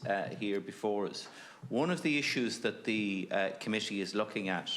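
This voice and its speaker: Man, committee chair, formal, measured, lowish voice. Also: a bit bright. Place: committee room.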